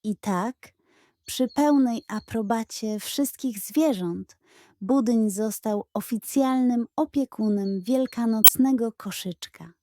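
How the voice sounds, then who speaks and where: Casting: female storyteller for children's audio tales, soft mellow timbre, slow pace, expressive reading. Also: a little high, no room sound. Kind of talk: children's bedtime story narration.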